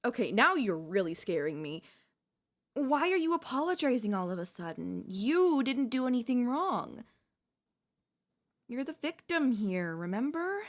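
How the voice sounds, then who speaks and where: A woman playing a devoted, possessive wife in an ASMR audio roleplay, speaking haltingly with deliberate dramatic pauses. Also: a little high, big pitch swings. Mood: anxious, stunned. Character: devoted wife, shocked then eerily composed, quietly possessive.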